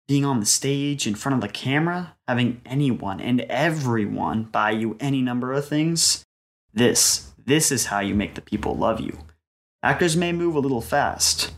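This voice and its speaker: A man's voice: mid-range voice, close to an everyday voice. A man playing a jaded young Italian-American mobster who dreams of acting, telling his story as a wry dramatic monologue. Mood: boastful. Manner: wry, world-weary storytelling with wiseguy slang. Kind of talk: dramatic scripted first-person monologue.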